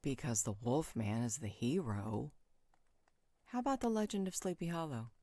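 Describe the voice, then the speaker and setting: A woman playing a polite, gentle companion in a roleplay audio, speaking softly and courteously. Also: a bass voice, lively intonation, normal speed, dry recording, full character voice. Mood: eager.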